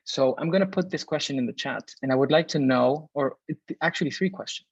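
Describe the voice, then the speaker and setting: A man's voice: fairly high pitch, brisk, slightly rough, no echo. Chatty man hosting a webinar. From Venezuela. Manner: chatty, filler-heavy, reads chat messages aloud. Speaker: webinar host. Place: home or office room in Berlin. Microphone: video call. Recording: dull, dry video call audio.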